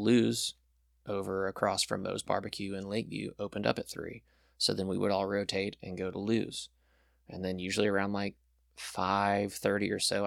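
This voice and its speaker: Low gravelly young man, rambling chat. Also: fairly bright tone, no echo, studio quality. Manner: rambling, enthusiastic, many fillers. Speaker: podcast guest, pilot. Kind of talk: casual chat.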